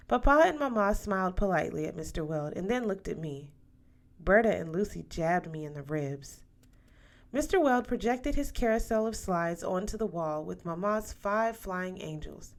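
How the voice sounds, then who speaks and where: A woman's voice: lowish voice, expressive, moderate pace. A woman reading a novel aloud as a scripted narration for young listeners. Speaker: audiobook reader.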